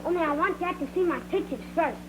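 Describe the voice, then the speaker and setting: A man's voice: extremely high pitch, fast talker. A young male actor playing an eager, know-it-all boy who loves airplanes, in the earnest style of a 1940s training film. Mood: eager, proud. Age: child. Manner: earnest, matter-of-fact recital of technical facts. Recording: noisy, humming 1940s film soundtrack.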